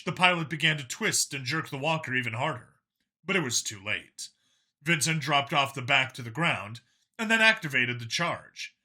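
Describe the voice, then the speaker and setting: A man's voice: medium pitch, pushed and bright. A man reading a science fiction action story aloud as dramatic scripted narration. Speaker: audiobook-style story narrator.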